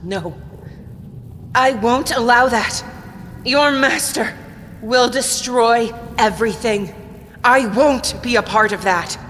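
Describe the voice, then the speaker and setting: A woman's voice: on the high side, takes it slowly, pauses often, a bit bright, a little hiss or hum. A woman playing a proud, defiant female titan with claws, imperious and threatening, in a fantasy audio drama. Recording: long reverb, music underneath.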